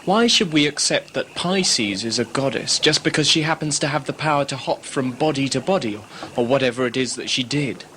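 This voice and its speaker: A man's voice: mid-range voice, a little fast, hardly any pauses, bright, forceful tone, slight background noise, lightly acted. A man playing a sceptical, talkative young adventurer in a sci-fi audio drama, questioning and stumbling over his phrases. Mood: doubting, agitated.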